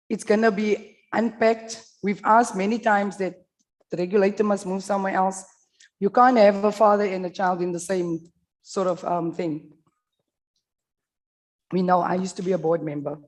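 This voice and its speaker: A South African woman giving a conference talk into a microphone, her voice sitting a touch high. She speaks bluntly, with dry humour, and talks directly to the people in the room. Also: darkish tone. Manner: blunt, humorous, addresses the audience directly. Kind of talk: candid conference presentation.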